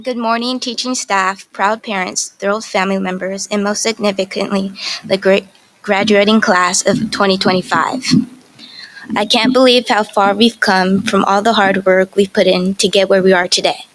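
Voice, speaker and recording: A woman's voice: medium pitch, fairly even intonation, moderate pace, fairly bright tone, rough recording. A young woman, co-valedictorian, giving a formal and composed graduation speech. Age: young adult. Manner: formal, composed, read from a script.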